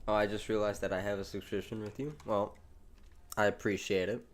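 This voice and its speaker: A man's voice: lowish voice, flat delivery, brisk, a little hiss or hum. A young American man chatting loosely on a live gameplay stream, rambling in slang with lots of restarts while reading chat. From United States. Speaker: livestreamer.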